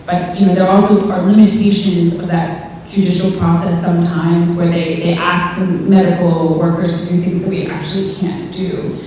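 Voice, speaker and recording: A woman answers as a medical panelist in a very echoey hall, picked up from a distance. Her voice is low and mellow, and her delivery is candid and informal. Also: flat delivery, normal speed, hardly any pauses. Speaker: humanitarian doctor speaking as a panelist. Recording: echoey hall, distant mic, faint noise.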